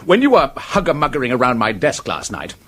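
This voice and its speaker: A man's voice: mid-range voice, huge pitch swings, fairly quick, a bit bright. A man playing an indignant, hot-tempered younger office manager in a radio drama, blustering and peremptory with an English accent. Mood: furious.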